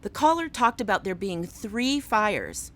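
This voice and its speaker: An earnest female police chief, new to the job and a little unsure. She explains things in a mid-range voice with lively, expressive melody, in natural radio drama acting. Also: medium pitch, unhurried. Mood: earnest, troubled.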